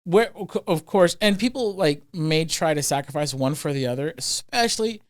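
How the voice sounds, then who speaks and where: A man's voice: fairly high pitch, expressive, moderate pace. A man hosting a podcast interview, enthusiastic and restarting his words as he asks questions. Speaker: podcast host.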